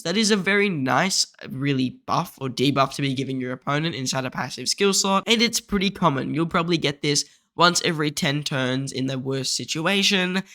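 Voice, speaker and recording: A man talks through a game guide in a voice-over, his nose blocked so his voice comes out nasal and congested. His tone is bright and a touch high, and he explains things steadily and methodically, point by point.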